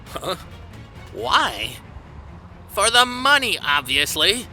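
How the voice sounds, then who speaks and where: A man acts a remorseless killer barber in an anime dub. He is bitter and slow, with pitch that swings widely, over clearly audible music. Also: very animated, sharp tone, full character voice. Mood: callous, bitter. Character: bitter, remorseless male serial-killer barber, cornered villain. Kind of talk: anime fan dub acting. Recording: voice under clear background music.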